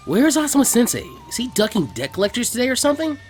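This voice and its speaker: A man voicing a brash, foul-mouthed teenage ninja boy from a fan fiction story, loud, blunt and indignant. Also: very high voice, very animated, fast talker, faint music behind, voice pushed up. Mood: grumbling.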